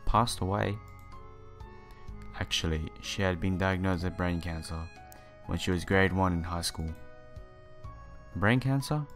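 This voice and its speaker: A man's voice: low voice, unhurried, pauses often, fairly bright tone. A man doing a game playthrough, reading the story text aloud with casual asides and stumbling over some words. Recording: game music behind the voice.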